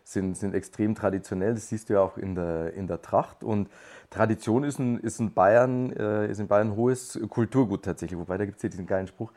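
Laid-back bavarian guy, low mellow voice, restarts his sentences a lot. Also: brisk. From Lower Bavaria, Germany. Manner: easygoing, many restarts and agreeing yeahs. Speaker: podcast guest. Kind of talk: conversational interview.

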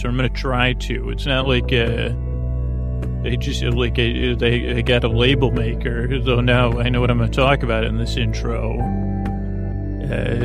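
A man hosting a bedtime podcast with a creaky voice, rambling in a lulling, hesitant, meandering way that keeps drifting into tangents. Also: mid-range voice. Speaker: podcast host. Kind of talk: rambling bedtime monologue for sleep.

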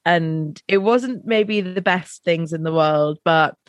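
Croaky tired girl in her 20s, lowish voice, lots of "like", dry mic. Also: slow, dry recording. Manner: laughing, casual, lots of "like" and "um". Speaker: podcast co-host. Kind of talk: casual chat.

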